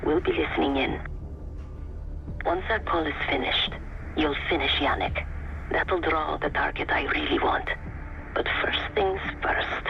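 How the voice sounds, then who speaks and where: An actor playing a menacing, calculating mercenary in a video game, laying out a job in a cold, taunting manner. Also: male voice, on the high side, a little slow, long pauses. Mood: cold, scheming. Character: menacing, coolly calculating underworld mercenary plotting a hit. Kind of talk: scripted mission briefing. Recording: dull, muffled, with clear background noise.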